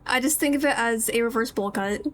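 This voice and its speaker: High-voiced woman streamer chatting over game. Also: brisk, over music. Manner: rambling, playful, self-deprecating. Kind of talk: casual live game-stream chat.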